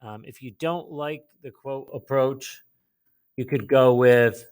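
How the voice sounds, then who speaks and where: Clear-voiced man teaching a lesson. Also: mid-range voice, darkish tone. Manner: step-by-step explaining, pausing and repeating himself. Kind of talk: instructional lesson, modelling a writing task aloud.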